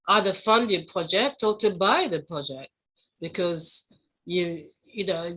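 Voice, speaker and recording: A woman's voice: medium pitch, sharp tone. A woman interviewer speaking in a conversational style, putting questions and comments to a guest.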